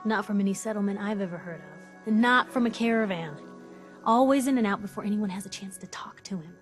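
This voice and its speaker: A woman's voice: mid-range voice, brisk, soft background music, close to an everyday voice. An actress playing a brash, nosy young female reporter in a video game, sarcastic and pushy. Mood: sardonic, probing.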